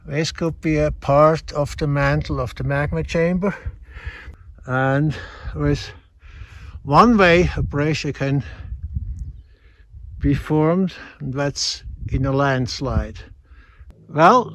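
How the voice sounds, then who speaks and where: A man with a Swiss accent, explaining geology outdoors on a mountain hike in a measured, teaching way. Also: on the high side. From Switzerland. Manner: measured explanatory, slightly non-native phrasing. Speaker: hiking geology guide. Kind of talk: outdoor geology field narration.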